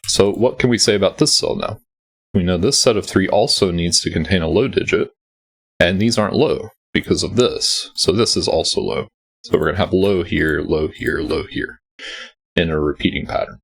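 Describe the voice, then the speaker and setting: A man hosting a sudoku walkthrough, gravelly and nearly losing his voice, explaining each step patiently with many ums. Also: deep voice. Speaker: sudoku puzzle setter and walkthrough host. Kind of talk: explaining a puzzle solve.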